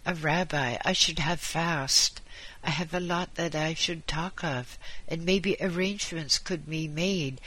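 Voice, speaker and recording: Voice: medium pitch, unhurried, pushed and bright, voice pushed up, poor audio. A narrator voicing an ailing, wry old husband who pleads in broken English full of "oi". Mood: pleading. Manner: foreign-tinged broken English syntax, exclaims "oi".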